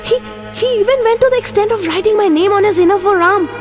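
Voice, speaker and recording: A woman's voice: very high voice, fast. An actress playing a young woman from India with an Indian English accent, earnest and reflective in an audio drama. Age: young adult. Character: young woman Priya, hurt but composed. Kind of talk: amateur audio drama acting. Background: music.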